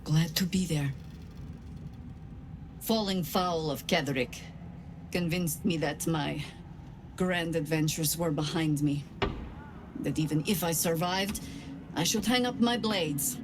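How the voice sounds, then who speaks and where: A woman voicing a seasoned older adventurer in a video game, steadfast and resolute, speaking in a measured, earnest and grave manner. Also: low-pitched, noisy background. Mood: warm resolve. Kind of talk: video game voice acting, dramatic dialogue.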